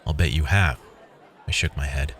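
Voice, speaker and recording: A man's voice: a bass voice, moderate pace, a bit bright, slightly rough, a faint crowd, exaggerated voice. A man playing a weary, wry programmer who narrates his own story as a scripted first-person audio drama. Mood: dry banter.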